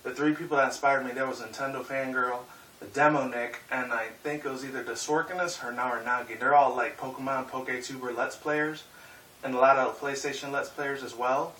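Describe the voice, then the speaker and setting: A man's voice: medium pitch, steady tone, brisk, sharp tone. A young man in his twenties who rambles through a casual vlog, swearing a lot and leaning on fillers. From Puerto Rican and Mexican heritage. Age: 20s. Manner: rambling, profane, filler-heavy. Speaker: YouTuber. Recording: roomy echo, mic at a distance, faint hiss.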